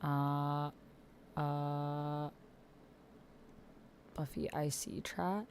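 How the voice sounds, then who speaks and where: A woman's voice: sits low, fairly even intonation, drawn-out words, a little mellow. A woman hosting a casual livestream, talking to herself and reacting aloud as she reads along in a text roleplay game. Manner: talks to self, reacts aloud, murmurs while reading and typing. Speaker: livestream host. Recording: background noise with keyboard typing. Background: computer keyboard typing.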